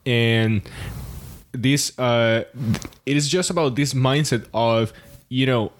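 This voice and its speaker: A man's voice: medium pitch, slow pace, a little hiss or hum. A man hosting a podcast, reading a short intro and then interviewing his guest conversationally. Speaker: podcast host. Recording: faint music under intro.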